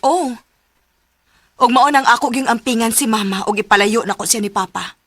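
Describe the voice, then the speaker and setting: A woman's voice: a little high, normal speed, over music, natural voice. A woman with a Filipino Cebuano accent playing a proud, protective and stubborn young daughter in a radio drama. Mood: bitter, resolute. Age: young adult.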